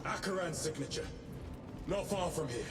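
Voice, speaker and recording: An actor plays a stern space marine warrior who speaks grimly and tersely. His voice sits a little high. It sounds distant and echoing, with music behind. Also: lively intonation, normal speed, natural voice. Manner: grim, terse. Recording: distant reverberant game audio.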